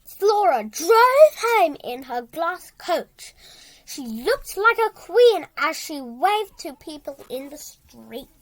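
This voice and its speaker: Child storyteller, high animated voice, halting read-aloud delivery with stumbles and dramatic emphasis. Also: low-quality recording. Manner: halting, stumbles and repeats words while reading.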